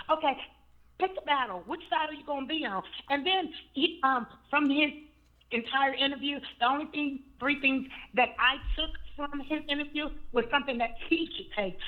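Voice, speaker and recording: Hoarse woman caller on a phone line. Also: sits high. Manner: blunt, indignant. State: hoarse after surgery. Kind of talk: opinionated phone-in commentary. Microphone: phone call.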